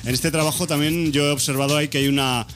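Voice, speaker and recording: A man's voice: on the high side, steady tone, fast talker, fairly bright tone, faint music behind. A man hosting a casual radio interview who talks loosely, hedging and restarting his phrases. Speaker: radio host.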